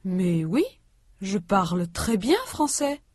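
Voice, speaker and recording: A woman reading a language course aloud, with slow, careful and precise enunciation. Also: mid-range voice, sing-song, darkish tone, breathy edge, no echo, studio quality. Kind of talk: scripted instructional reading.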